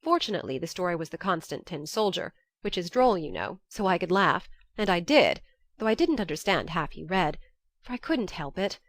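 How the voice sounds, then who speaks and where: A woman narrates a classic novel in the first person, measured and expressive. Her voice sits slightly low and is recorded very dry and close, without room sound. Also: no room sound. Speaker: audiobook narrator.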